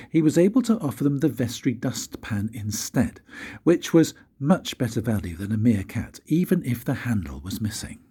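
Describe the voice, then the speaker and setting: Husky older man reading a storybook, very animated. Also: medium pitch. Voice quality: husky, breathy. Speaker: grandfather reading to his grandchildren. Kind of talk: storybook read-aloud narration.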